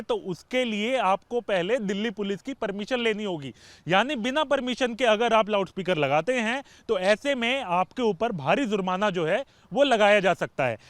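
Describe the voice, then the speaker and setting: High-pitched male news reporter. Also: a bit bright, dry recording. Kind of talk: news report, explanatory piece to camera.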